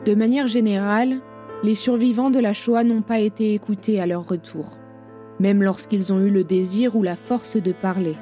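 A woman narrates history in a measured, informative way. Her voice is mellow and dark. Soft music plays faintly behind her. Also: medium pitch, fairly even intonation. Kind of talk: scripted documentary narration.

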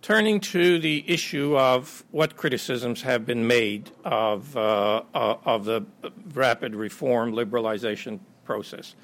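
A middle-aged man speaking at a conference, born in Ukraine and raised in Canada. He stops and restarts often and fills his pauses as he works through his points. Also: medium pitch, a bit bright. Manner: halting, many filler pauses and restarts. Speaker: invited speaker. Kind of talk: conference lecture.

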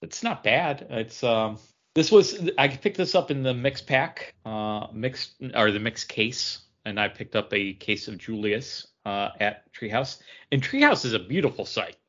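A man's voice: medium pitch, expressive, measured pace, fairly bright tone, dull audio. A man chatting casually as a podcast co-host, telling stories in a rambling, meandering way. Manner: rambling storyteller. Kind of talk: casual chat.

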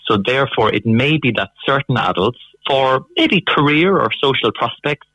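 A man's voice: medium pitch, expressive, moderate pace, pushed and bright, slightly rough, dry recording, rough recording. A man, a university lecturer, explaining a point in an interview with academic phrasing. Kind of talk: expert interview.

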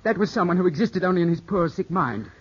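An actor in a 1950s radio drama playing an earnest, brooding young gentleman, formal and intense in delivery. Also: sits high, animated, quick pace, breathy edge. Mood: pleading. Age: young adult. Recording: dull, muffled old radio recording.

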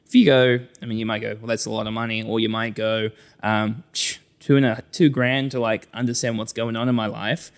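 A man's voice: lowish voice, flat delivery, brisk, hardly any pauses. A man with a New Zealand accent talking as a financial adviser in a conversational interview, often voicing imagined clients to illustrate his explanations.

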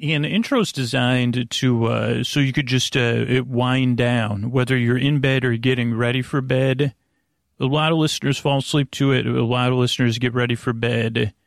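A man hosting a sleep podcast with a rambling, improvised monologue, meandering and halting as he drifts into tangents. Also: medium pitch, steady tone, gravelly, no room sound, studio quality. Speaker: podcast host.

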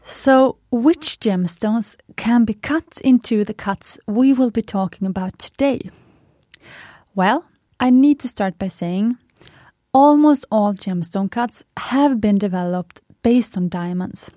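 A woman's voice: a little high, takes it slowly, dark tone, no room sound, studio quality. Chatty Swedish-native woman podcast host. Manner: chatty, enthusiastic, fond of puns. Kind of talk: scripted podcast monologue.

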